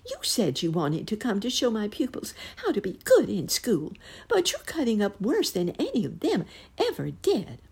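Squeaky storybook mouse schoolmistress, read by a woman, prim and proper, voice up high. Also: huge pitch swings, normal speed, a little mellow, husky, heavily acted. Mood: scolding.

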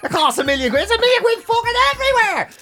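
A man's voice: very high voice, sing-song, rapid-fire, over music. An Irish man with a Dublin accent co-hosting a comedic chat show, ranting bluntly and swearing in a mock-serious way. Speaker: podcast and radio co-host.

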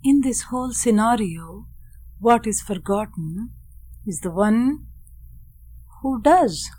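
A woman leading a guided meditation in a measured, deliberate way, speaking in short phrases with long pauses. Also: a little high, expressive, dark tone. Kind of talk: guided meditation with Sanskrit chanting and teaching.

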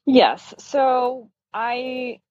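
A woman's voice: high voice, very slow, darkish tone, dry recording, muffled recording. A middle-aged woman from Brazil being interviewed, telling personal stories in a candid, self-deprecating, conversational way. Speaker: interview guest, yarn shop owner.

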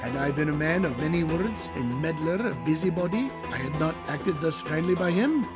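An actor playing a pompous, meddling barber in an audio drama, declaiming self-righteously in archaic, long-winded speech. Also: male voice, a little high, lively intonation, normal speed, loud music behind the voice, natural voice. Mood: smug, self-justifying.

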